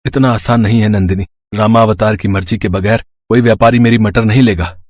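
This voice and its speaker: A man's voice: fairly low pitch, fairly even intonation, fairly quick, a little mellow, no room sound, natural voice. A man playing a kind, earnest poor village farmer and husband in a moral-story cartoon. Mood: worried.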